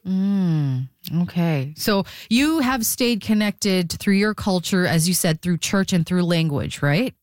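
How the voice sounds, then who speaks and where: Female call-in radio host, slightly low register, dry studio sound, presenting and interviewing live. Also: animated, dry recording. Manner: reads phone numbers slowly, digit by digit.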